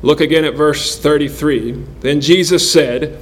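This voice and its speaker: A man in his forties preaching a sermon in an earnest, measured way, pausing between phrases. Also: fairly high pitch, slight background noise. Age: 40s. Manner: earnest, measured delivery with pauses.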